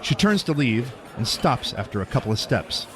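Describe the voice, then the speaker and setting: A man's voice: mid-range voice, very animated, moderate pace, a crowd in the background. A man narrating an audio drama from a script as its storyteller. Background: background music.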